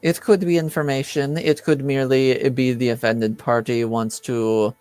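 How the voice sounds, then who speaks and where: A man playing a composed, formal informant in a tabletop roleplaying game, speaking in measured phrases with hesitant pauses. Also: medium pitch, level tone, darkish tone, clear, resonant tone, dry recording, soft background music, close to an everyday voice. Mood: cool, measured. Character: formal, composed informant with cool professional detachment. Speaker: game master.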